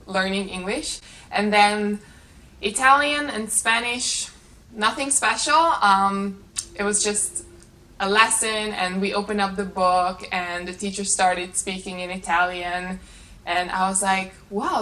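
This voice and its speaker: A woman's voice: medium pitch, measured pace, sharp tone. A woman in her late twenties from Hungary with a Hungarian accent, talking casually to camera as a vlogger. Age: late 20s. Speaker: vlogger, channel host. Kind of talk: casual talk to camera answering tag questions. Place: small carpeted bedroom at home.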